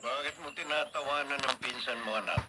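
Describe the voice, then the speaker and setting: An actor playing a fair, worried middle-aged father in a radio drama, measured and reproachful, on a noisy recording. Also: medium pitch, lightly acted. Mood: reproving. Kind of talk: Philippine radio drama acting.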